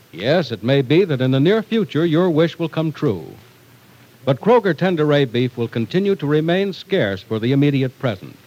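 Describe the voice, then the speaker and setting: A man's voice: mid-range voice, darkish tone, low-quality recording. A man announcing in scripted 1940s radio style, with emphatic, polished delivery for sponsor copy and narration.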